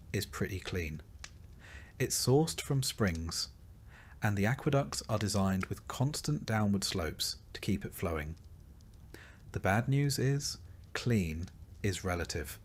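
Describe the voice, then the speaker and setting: Male narrator, lowish pitch, for long-form scripted storytelling: measured dramatic delivery with a dry, wry edge. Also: a little hiss or hum. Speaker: narrator of history sleep stories. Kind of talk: scripted second-person documentary narration.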